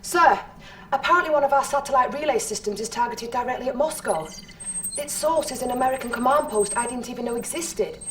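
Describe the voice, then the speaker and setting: An actress playing an earnest female intelligence officer, giving crisp, formal briefings in a video game. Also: high-pitched, fairly quick, a bit bright, slight background noise. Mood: urgent. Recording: slightly distant, music behind.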